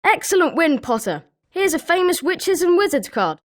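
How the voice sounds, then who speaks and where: A woman's voice: extremely high pitch, big pitch swings, moderate pace. A woman with a British accent voicing an eager, sporting schoolboy wizard with chirpy, emphatic delivery in a video game. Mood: congratulatory. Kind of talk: scripted video game character lines.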